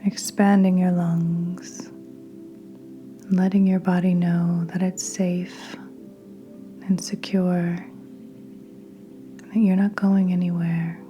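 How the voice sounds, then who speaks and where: A woman guiding a meditation in a soft, breathy near-whisper, gentle and soothing, with long unhurried pauses. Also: fairly low pitch, little pitch movement, highs cut off. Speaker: podcast host and meditation guide. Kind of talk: guided meditation narration. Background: soft meditation music with singing bowls.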